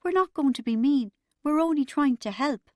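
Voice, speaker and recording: A narrator playing an anxious, high-pitched chattering mum. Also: female voice, very animated, moderate pace, darkish tone, no room sound, clean studio sound. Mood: anxious, pleading. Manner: shaky, fake-upbeat, exclamatory. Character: anxious, chattering, put-upon middle-aged mum. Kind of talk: audiobook character dialogue.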